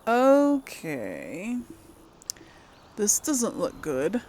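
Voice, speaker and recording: Casual female let's-play host, unhurried and slightly creaky, muses aloud with lots of pitch movement. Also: huge pitch swings, darkish tone, slight background noise. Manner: thinks aloud, muttering to herself. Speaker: gaming channel host. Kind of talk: casual game playthrough commentary.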